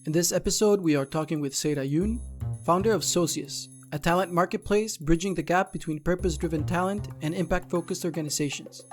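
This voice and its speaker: A male host reads a scripted introduction in a smooth, even way. His voice sits a little high, with faint music underneath. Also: fairly quick. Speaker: interview host.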